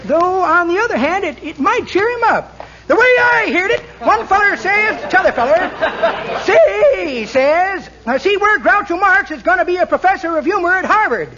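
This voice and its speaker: High-pitched cackling old codger. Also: male voice, animated, moderate pace, a crowd in the background. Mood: jokey, folksy. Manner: folksy, teasing, rambling joke-telling. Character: cackling, teasing old man, a folksy joke-teller. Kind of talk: 1940s radio comedy acting. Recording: noisy, dull 1940s radio recording.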